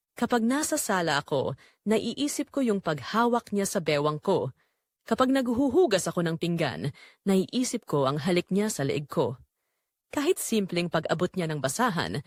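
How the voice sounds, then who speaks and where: Actress as sensual, restless Filipina wife confessing an affair; very animated pitch, dry close sound, audio drama narration. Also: female voice, no room sound.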